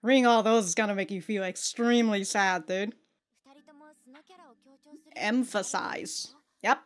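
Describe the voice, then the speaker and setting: A woman's voice: mid-range voice, steady tone, measured pace. A woman giving casual, slangy reaction commentary and swearing freely as the host. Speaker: host of an anime reaction show.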